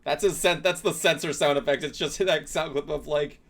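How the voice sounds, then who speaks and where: A man's voice: fairly high pitch, fairly even intonation, normal speed, creaky, fairly bright tone. A man from Florida with a nasal voice and a Philadelphia accent, rambling with restarts in casual talk-show chat.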